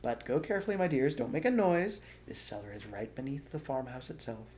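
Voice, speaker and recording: A man voicing a fatherly male fox leader, kindly and hushed. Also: mid-range voice, very animated, a little fast, a little hiss or hum, voice pushed up, natural voice.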